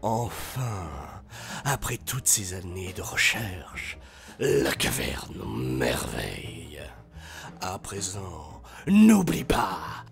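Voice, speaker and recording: A man voicing a sinister, scheming cartoon sorcerer with a slow, hushed, menacing delivery. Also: low voice, wildly swooping pitch, gravelly, faint music behind, pitched below the performer's own voice, full character voice. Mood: greedy, menacing.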